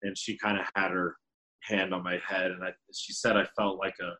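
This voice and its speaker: Man, deep booming voice, casual podcast storyteller, rambling. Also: level tone, normal speed, pushed and bright, poor audio. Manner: rambling with many fillers. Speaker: podcast guest, restaurant server.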